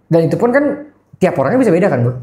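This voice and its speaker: A man's voice: fairly high pitch, very animated, very fast, dark tone. A man with a Jakarta accent, hosting a casual interview in colloquial speech and often seeking agreement. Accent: Jakarta Indonesian. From Indonesia. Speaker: podcast host.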